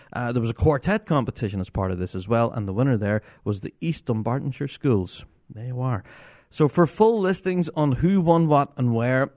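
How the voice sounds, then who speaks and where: A man hosts his own podcast, talking enthusiastically and breaking into laughter often. His voice moves around a lot in pitch and stays very animated. The recording is dry and close. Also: no echo. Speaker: podcast host. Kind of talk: casual solo podcast talk.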